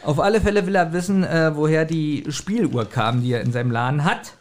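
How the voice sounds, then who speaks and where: A man with a slightly high voice talks casually as a podcast co-host. He recaps a story in loose conversational speech, bantering and pressing his point insistently. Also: a little fast. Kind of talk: casual chat.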